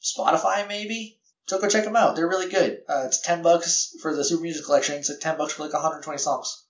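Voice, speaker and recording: A man's voice: on the high side, fairly quick, roomy sound, muffled recording. A man hosting a podcast, giving casual, rambling announcements in a slightly scratchy voice, often correcting himself and chuckling at his own remarks. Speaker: podcast host.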